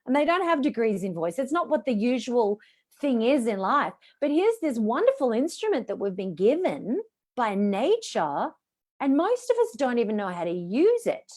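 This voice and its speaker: A woman's voice: on the high side, sing-song, moderate pace, a little mellow. A middle-aged woman, a voice coach, teaching an online session over a video call in an encouraging coaching tone.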